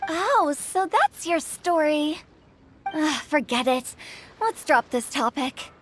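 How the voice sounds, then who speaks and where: A woman playing a bubbly, expressive young girl in a video game, with an emphatic, sing-song delivery. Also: high-pitched, slow pace, a little hiss or hum. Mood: mildly miffed. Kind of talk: animated video game voice acting. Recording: music under the dialogue.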